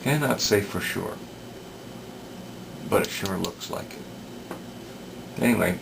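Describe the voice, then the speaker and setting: A man giving unscripted workbench commentary as a repair hobbyist, hesitant and halting as he thinks aloud. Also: fairly low pitch. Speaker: radio repair hobbyist demonstrating work. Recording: clear background noise.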